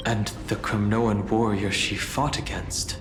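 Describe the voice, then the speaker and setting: A man playing a gentle, sensitive, anxious youth in a video game, soft-spoken and hesitant. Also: on the low side, flat delivery, unhurried, close to an everyday voice. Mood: somber, concerned. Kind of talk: scripted video game voice acting. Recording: echoey hall reverb, faint music.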